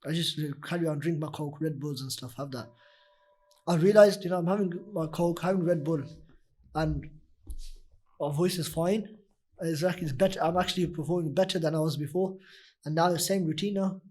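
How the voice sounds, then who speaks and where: A young man, a nasheed artist, talking as an interview guest in a husky, deep voice, rambling with many fillers. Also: a little fast, darkish tone. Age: young adult. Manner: rambling, many "you know" fillers and restarts. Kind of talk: casual interview talk.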